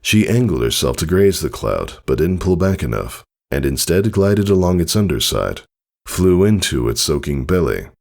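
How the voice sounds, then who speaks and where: Male story narrator: low, gravelly register, expressive dramatic reading. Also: moderate pace. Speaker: audiobook narrator. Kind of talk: scripted narration of fan fiction, dramatic storytelling.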